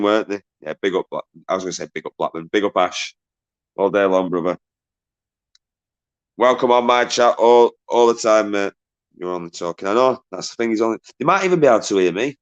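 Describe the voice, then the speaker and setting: A man hosting a casual live stream, swearing freely, laughing a lot and bantering with callers in a loud voice. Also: highs cut off. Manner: says he has a deep, loud voice. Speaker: livestream host.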